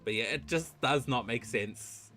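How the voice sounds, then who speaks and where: A man livestreaming a game with casual reaction commentary, musing aloud in a halting way. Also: medium pitch, sharp tone. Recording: game music behind the voice.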